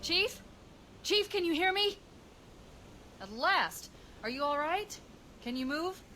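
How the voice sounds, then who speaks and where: An actress playing a calm, caring female military AI companion in a game, with crisp, tactical delivery. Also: extremely high pitch, takes it slowly, a little hiss or hum, heavily acted. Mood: concerned. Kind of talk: game cutscene acting. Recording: loud cinematic music behind.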